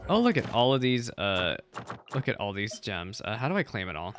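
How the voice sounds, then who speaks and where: A man casually streaming a game, chatty and excitable, repeating himself often as he talks. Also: on the low side, a little fast, over music. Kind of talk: casual livestream commentary.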